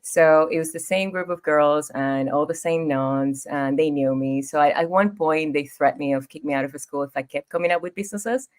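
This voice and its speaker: A woman in her thirties from Ecuador with a Spanish accent, telling her story as a podcast guest in an expressive, easygoing way. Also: sits low, fairly quick, few pauses. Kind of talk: casual interview storytelling.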